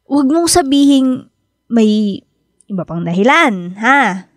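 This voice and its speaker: A young Filipina bride-to-be, with a high voice that swings widely as she nags and teases. She is insistent and expressive, and the recording is dry and close. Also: no room sound. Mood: prying. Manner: nagging, expressive, quick to press questions. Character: insistent, teasing young bride-to-be, best friend. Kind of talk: Tagalog radio drama acting.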